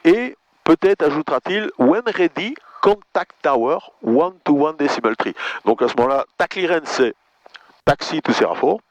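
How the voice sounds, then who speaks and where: A man's voice: fairly high pitch, expressive, moderate pace, no echo. A man working as a flight instructor, patiently explaining radio calls step by step and prompting phrases to repeat. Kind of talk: hands-on cockpit coaching. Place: small training aircraft cockpit. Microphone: aviation headset. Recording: muffled aircraft intercom audio.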